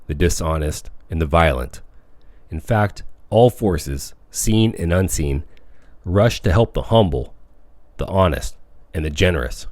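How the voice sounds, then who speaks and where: A man reading a self-help audiobook as scripted narration, with measured, declamatory phrasing. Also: low-pitched, a little mellow, dry recording. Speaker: audiobook reader.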